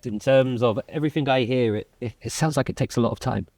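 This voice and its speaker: A man's voice: medium pitch, fast, creaky, a little mellow. A man hosting a company podcast, asking long, winding questions in a friendly way. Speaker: podcast host. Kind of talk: interviewing panel guests.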